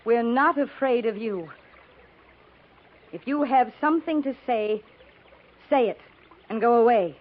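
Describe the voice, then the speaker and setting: Steely, high-pitched frontier woman. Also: expressive, unhurried, pauses often. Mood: defiant. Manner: firm, commanding, clipped. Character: steely, protective frontier woman standing guard with a pistol. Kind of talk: 1950s radio western acting. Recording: muffled, hissy 1950s radio recording.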